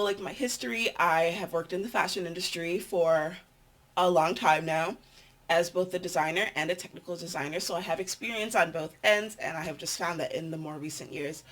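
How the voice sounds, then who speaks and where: A woman's voice: lowish voice, a bit bright. A young adult woman with a nasal voice, chatting casually to her vlog audience in a meandering, filler-filled way. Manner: chatty, meandering, with frequent ums and likes. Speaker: vlogger.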